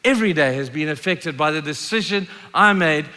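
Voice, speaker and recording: Male preacher, sixties, from Zimbabwe. Forceful, bright, emphatic delivery of sermon and scripture, long hall reverb. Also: a little high, animated, a little fast. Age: 60s. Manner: emphatic, repeats key phrases for effect. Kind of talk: sermon with storytelling and scripture reading.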